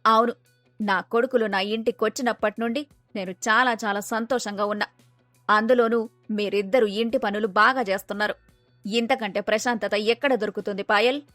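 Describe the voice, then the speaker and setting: A woman's voice: a little high, fairly even intonation, fairly quick, fairly bright tone, no room sound, faint music behind, natural voice. Woman acting agreeable middle-aged mother-in-law. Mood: contented.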